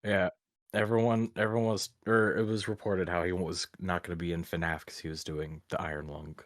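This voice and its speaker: Low voiced guy on a reaction show, super casual. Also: flat delivery, brisk. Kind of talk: casual chat.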